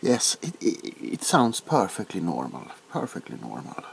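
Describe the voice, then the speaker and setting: A middle-aged man playing a nervous, bewildered, awkwardly polite doctor in a soft-spoken comedic ASMR roleplay, stammering and repeating himself. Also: low-pitched, huge pitch swings, normal speed, creaky, slight background noise, pitched below the performer's own voice, exaggerated voice, low-quality recording. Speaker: ASMR performer.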